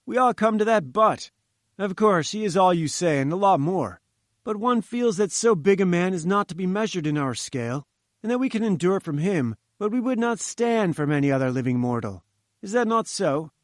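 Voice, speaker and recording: An upbeat young newspaperman, as a male narrator puts him on, pitched well above the reader's own voice. He speaks briskly and confidingly. The sound is dry and close. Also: expressive, dry recording. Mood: eager.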